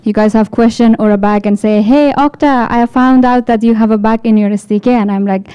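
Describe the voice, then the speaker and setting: A woman's voice: a little high, fairly even intonation, a little fast, dark tone, smooth, lo-fi sound. A woman from Afghanistan with an Afghan accent, giving a chatty tech conference talk full of rhetorical questions. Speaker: developer support engineer giving a talk. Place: event hall with an audience.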